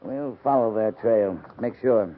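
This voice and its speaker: A man's voice: fairly low pitch, measured pace, close to an everyday voice. An American man playing a stern, dryly sardonic US cavalry captain in a 1950s radio western, with clipped authority. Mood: brisk, resolute. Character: commanding male US cavalry captain, stern and dry. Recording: tinny, noisy 1950s radio recording.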